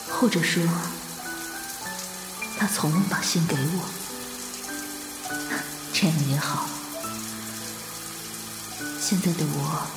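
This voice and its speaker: Woman playing a gentle mature lady in love, lowish voice, roomy echo and music, radio drama. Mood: reflective. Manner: tender, measured, intimate. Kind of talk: modern radio drama acting.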